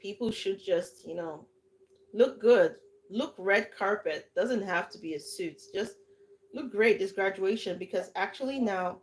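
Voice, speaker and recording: A woman's voice: medium pitch, a little slow. A woman from Nigeria with a Nigerian accent, hosting a livestream and giving casual, emphatic advice that often repeats itself. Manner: lively, emphatic, repeats herself. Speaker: livestream host and coach.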